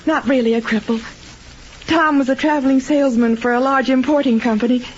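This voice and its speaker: A woman's voice: sits high, steady tone, fairly quick, natural voice. A woman playing an anxious, devoted wife in melodramatic old-time radio drama style. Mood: distraught. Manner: earnest, melodramatic. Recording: dull, noisy old radio recording.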